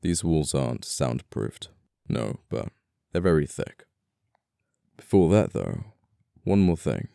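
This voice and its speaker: Casting: male actor for scoundrel murderer in ASMR roleplay, very deep and smooth, politely menacing, apologetic, flirtatious, quaint wording. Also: sing-song, slow, dry recording, studio quality, exaggerated voice. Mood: apologetic, flirty. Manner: soft-spoken politeness, condescending, old-fashioned phrasing. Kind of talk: intimate ASMR audio roleplay acting.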